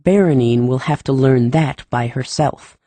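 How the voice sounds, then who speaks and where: A woman's voice: rumbling low, measured pace, mellow, faint music behind, voice pushed down. A woman voicing a blunt, plain-spoken young male gardener who talks in clipped, folksy words, reading a storybook.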